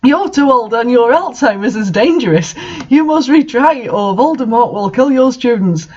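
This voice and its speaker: A shrill, scolding official from a melodramatic story, acted out by a man. The voice is pitched up and accusing, in dull lo-fi sound. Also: animated, moderate pace, a little mellow. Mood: angry, alarmed. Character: angry, accusing ministry officials demanding closure. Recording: dull lo-fi recording.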